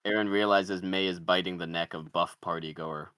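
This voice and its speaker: A man's voice: low-pitched, steady tone, normal speed, bright, forceful tone, clean tone, no echo, studio quality. A man narrating, reading out the stage directions of a screenplay.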